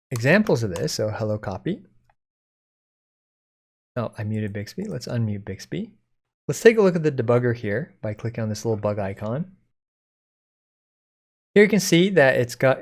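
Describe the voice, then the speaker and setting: A man presenting a code-along software tutorial in an informal, step-by-step explaining way. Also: medium pitch, very animated, moderate pace, long pauses. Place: indoors at a computer, screen recording.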